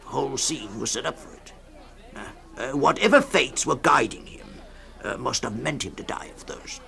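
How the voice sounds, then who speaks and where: A man playing a rambling old storyteller fond of a drink in a dramatised short story, hemming, hawing and repeating himself in a creaky voice. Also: medium pitch, expressive, moderate pace, bright, forceful tone, full character voice. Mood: musing, emphatic. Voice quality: creaky, gravelly.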